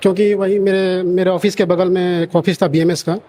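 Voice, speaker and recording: A student from Bihar introducing himself and explaining how he came to the course, eager and quick to agree. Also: sits high, level tone, mellow. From Bihar, India. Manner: eager, repeats short affirmations. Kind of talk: self-introduction in an interview.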